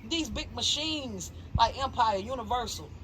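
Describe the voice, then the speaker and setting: A woman in her thirties with a Southern US accent hosts a loose sit-down chat. She talks in an animated, slangy way and makes strong opinions plain. A light hiss of background noise sits under her voice. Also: fairly high pitch, normal speed, low-quality recording. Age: 30s. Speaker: interview host. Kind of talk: casual interview chat.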